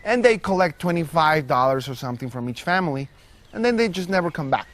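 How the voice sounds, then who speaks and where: A young man with a slightly raised pitch speaks in a documentary interview. He explains things in an earnest, measured way. He co-founded a solar startup and is from Guatemala City. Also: animated, fairly bright tone. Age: young adult.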